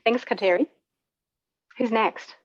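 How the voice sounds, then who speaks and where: A woman's voice: a little high, takes it slowly, no room sound, highs cut off. Woman presenting a workshop over video call. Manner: conversational, frequent fillers. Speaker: trainer leading a workshop.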